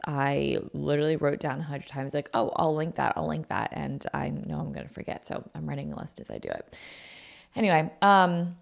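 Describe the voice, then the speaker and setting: Scratchy-voiced woman podcast host, low-pitched. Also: vocal fry. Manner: chatty, peppered with "like" and "um". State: sore throat, scratchy voice. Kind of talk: casual solo podcast chat. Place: her own apartment.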